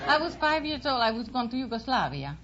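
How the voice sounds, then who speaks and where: A woman contestant with an Eastern European accent, chatting with a host in halting, broken English on an old radio show. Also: a little high, very animated, dull audio, a loud crowd. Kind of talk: casual banter with host. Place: radio studio with audience. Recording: very noisy old radio, loud audience.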